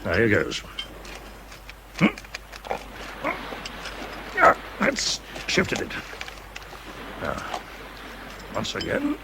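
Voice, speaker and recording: An actor playing a kindly, unflappable British army officer in a radio drama, breezy and encouraging in clipped old-chap speech. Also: male voice, lowish voice, huge pitch swings, very slow, vocal fry, pauses often, heavy background noise, heavily acted. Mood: brisk, helpful.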